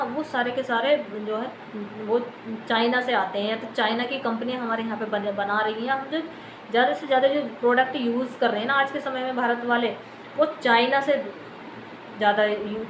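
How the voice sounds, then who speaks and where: A woman with an Indian accent giving unscripted news commentary, explaining things to viewers in a wordy, repetitive way. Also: a little high. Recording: echoey room, clear background noise.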